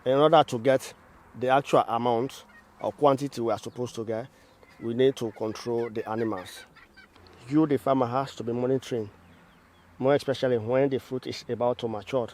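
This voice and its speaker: A man's voice: medium pitch, fairly even intonation, moderate pace, a little mellow. A man giving step-by-step instruction as an agricultural trainer, in methodical, formal wording. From Sierra Leone.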